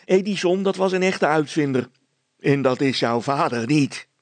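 A man's voice: fairly high pitch, expressive, moderate pace, no echo, pitched above the performer's own voice, close to an everyday voice. A man voicing a scornful, indignant village wife and mother in a storybook reading. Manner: sneering, indignant.

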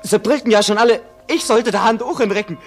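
Ein Schauspieler spielt im Hörspiel einen aufgeregten, atemlosen jungen Burschen mit schlesischem Akzent. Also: male voice, high-pitched, quick pace, soft background music, close to an everyday voice. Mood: excited, breathless. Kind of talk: German radio play acting.

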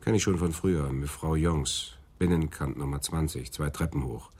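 An actor playing a matter-of-fact, dryly dismissive police detective in a radio crime drama. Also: male voice, extremely low pitch, normal speed, a little mellow, husky. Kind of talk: German radio play acting, office dialogue.

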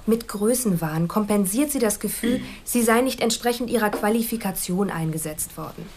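Actress as clinical female profiler, mid-pitched. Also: a little mellow, slight background noise, close to an everyday voice. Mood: clinical, assured. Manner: measured, formal, clinical. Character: analytical police profiler presenting a personality assessment. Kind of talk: German radio drama acting.

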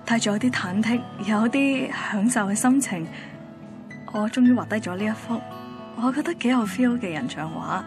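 A woman's voice: fairly high pitch, moderate pace, over music, lightly acted. An actress playing a bored, wistful young woman from a radio drama, with a Hong Kong accent. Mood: reflective. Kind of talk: radio drama dialogue and narration.

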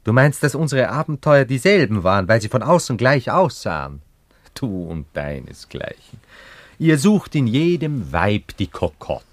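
An actor playing a world-weary, disdainful romantic gentleman in a German radio play, brooding and earnest. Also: mid-range voice, big pitch swings, a little slow, dry recording. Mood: disdainful, proud. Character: moody, world-weary romantic gentleman, vain about love.

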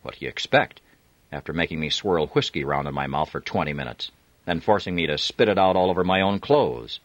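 A man voicing a blunt, determined young male hero close to his own deep voice. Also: expressive, a little fast, no room sound, highs cut off. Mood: indignant.

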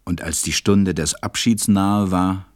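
Deep-voiced male storybook narrator. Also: steady tone, measured pace.

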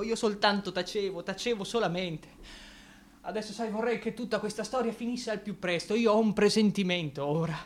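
An actor playing an anguished, exhausted young dreamer in a radio drama, pleading, rambling and repeating himself in self-reproach. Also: male voice, high voice, bright, forceful tone. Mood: anguished, faint. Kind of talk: theatrical radio drama acting.

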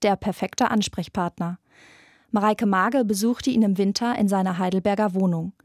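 A woman's voice: medium pitch, steady tone, moderate pace, dry recording, clean studio sound. A young woman in her twenties presenting a scripted podcast narration. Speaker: presenter, radio drama intern.

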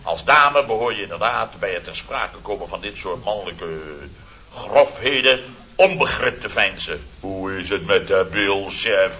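An actor playing a blustering, pompous company boss in a radio comedy, speaking theatrically and indignantly with drawn-out emphasis. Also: male voice, deep voice, sharp tone, heavily acted. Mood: pompous reproach. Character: blustering, pompous male boss of a building firm. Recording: dull old radio recording, faint noise.

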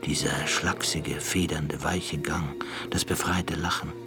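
A male actor playing a thoughtful, brooding half-ape who looks back in hushed, measured radio drama narration with a gravelly voice. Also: fairly even intonation, music in the background. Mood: reflective. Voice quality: gravelly, breathy low voice.

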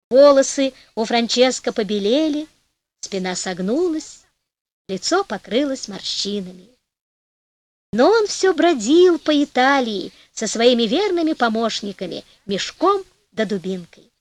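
Expressive woman reading fairy tales. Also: fairly high pitch, no room sound. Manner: expressive, measured storytelling. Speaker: audiobook narrator. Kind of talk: storybook narration.

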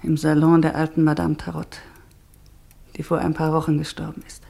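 An actress playing Ruth, a troubled woman in exile, in an old radio play, speaking in a hushed, wistful way with a husky breathy voice. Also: low-pitched, completely flat delivery, normal speed, exaggerated voice. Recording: old mono radio drama.

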